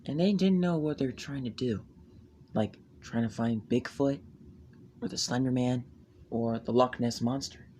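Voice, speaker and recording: A man's voice: medium pitch, unhurried, a little mellow, a little hiss or hum. A man improvising an amateur campfire horror story with theatrical, ominous emphasis.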